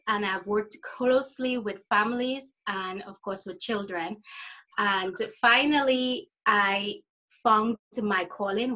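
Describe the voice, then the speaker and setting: Measured, bright-voiced Trinidadian woman. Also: mid-range voice, low-quality recording. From Trinidad and Tobago. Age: 40s. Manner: hesitant, measured, pausing to find words. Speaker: interview guest, life coach. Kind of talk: casual interview answers.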